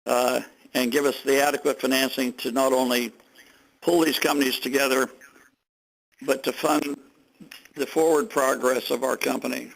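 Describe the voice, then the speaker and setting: An older man, a company executive, gives remarks on a conference phone call in measured phrases with pauses. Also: medium pitch, steady tone, gravelly. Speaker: company executive being interviewed. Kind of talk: conference call remarks, recounting his business.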